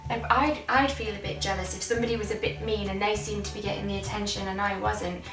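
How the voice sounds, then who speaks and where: A woman's voice: medium pitch, steady tone, fast talker. Echoey woman teaching children. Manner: question-led, explains simply to children. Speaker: children's Sunday school leader. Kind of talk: interactive children's Bible teaching. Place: indoor church studio. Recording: echoey room, distant mic, faint music.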